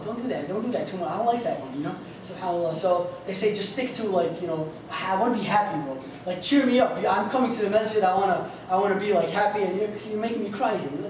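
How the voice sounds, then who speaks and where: A man giving an informal lesson on recitation styles, casual and rambling with many fillers, and losing his voice. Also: sits high. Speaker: instructor on Quran recitation. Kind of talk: informal lesson with sung demonstrations. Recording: echoey hall from far off, faint noise.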